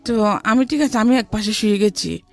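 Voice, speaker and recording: A woman's voice: mid-range voice, moderate pace, creaky, soft background music. A woman with a Bangladeshi accent telling her personal story as an interview guest, often relaying what others said.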